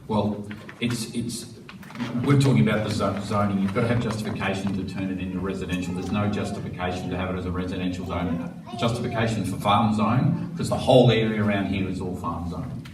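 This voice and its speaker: Blunt mayor, very low monotone voice, echoey distant chamber sound. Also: male voice, fairly quick. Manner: blunt, plainspoken, firm. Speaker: mayor chairing a council meeting. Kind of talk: chairing a formal meeting. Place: council chamber. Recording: echoey chamber, distant mic, faint hiss.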